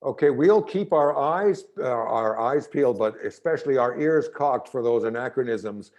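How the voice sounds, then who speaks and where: A man's voice: mid-range voice, expressive, normal speed, darkish tone, lo-fi sound. A man hosting an event, speaking in a casual introductory style. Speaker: event host.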